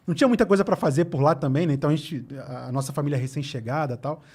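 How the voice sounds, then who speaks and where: A man from Rio with a clear Carioca accent talks quickly and keeps the story moving. His voice sits a little high for a man, and the delivery is relaxed and chatty, full of small asides. He sounds like a guest sharing memories in a podcast studio. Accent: Carioca Portuguese. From Rio de Janeiro, Brazil. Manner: fast, animated storytelling with easy laughs. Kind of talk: casual podcast conversation.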